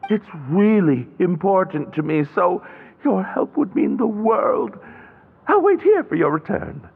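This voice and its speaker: A man's voice: sits high, big pitch swings, a little slow, creaky, slightly rough, phone-quality sound, soft background music, full character voice. A man voicing a shy, polite young man who hesitantly asks for help finding his hat, in a video game.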